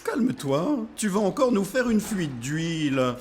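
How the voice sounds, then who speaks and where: A man voicing a friendly, reassuring machine buddy who explains things in a chummy, animated way in an educational cartoon. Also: high-pitched, unhurried, slight background noise. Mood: explaining, reassuring. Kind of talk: scripted educational cartoon dialogue.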